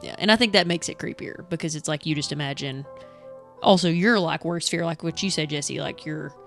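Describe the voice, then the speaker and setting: A woman's voice: low voice, fairly quick, faint music behind. A woman co-hosting a podcast, chatting casually with breezy, agreeable remarks. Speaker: podcast co-host. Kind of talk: casual chat.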